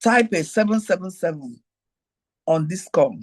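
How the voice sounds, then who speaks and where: Husky Nigerian woman teaching. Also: expressive, a little slow, a little mellow. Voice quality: high-pitched. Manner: digressive, repeats key phrases, talks straight to listeners. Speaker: host. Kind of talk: teaching talk mixed with personal stories. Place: online group call.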